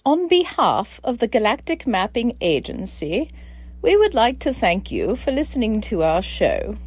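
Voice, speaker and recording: A woman's voice: a little high, huge pitch swings, unhurried, background noise. A woman playing a falsely soothing agency announcer, formal and reassuring, in a comedy audio drama. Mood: soothing, official.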